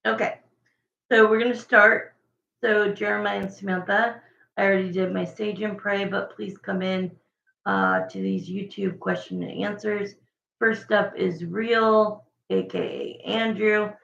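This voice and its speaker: A woman with a raspy voice doing a casual livestream tarot reading, chatting and thinking aloud between viewer questions. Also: mid-range voice, a little slow, a bit bright. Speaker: tarot reader. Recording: echoey, dull lo-fi room sound.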